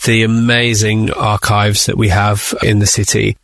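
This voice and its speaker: A man's voice: lowish voice, little pitch movement, unhurried, fairly bright tone, no echo. A man who works as a writer, answering interview questions conversationally and explaining creative work.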